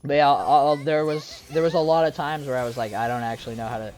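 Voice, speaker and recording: A woman's voice: rumbling low, steady tone, fast talker, darkish tone, soft background music, low-quality recording. A young woman chatting casually over gameplay, rambling and self-deprecating, with lots of fillers and restarts. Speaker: gaming streamer.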